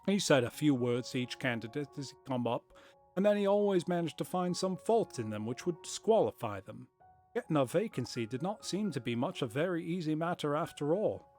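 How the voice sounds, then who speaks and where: A man's voice: fairly high pitch, animated, brisk, a little mellow, soft background music. A man reading aloud, voicing a talkative middle-aged shopkeeper in a put-on period accent as he tells his story.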